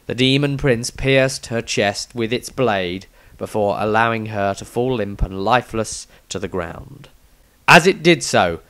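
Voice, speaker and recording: A man's voice: mid-range voice, fairly bright tone. A man reading a scripted fantasy tale as its narrator, in a dramatic storytelling style. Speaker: author reading his own story.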